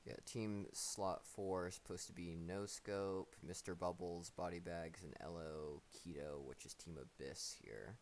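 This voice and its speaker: A man's voice: low-pitched, steady tone, a little slow, few pauses, slight background noise. A man hosting a mobile game tournament on a live stream, talking in a laid-back, slangy, joking way. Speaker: tournament host.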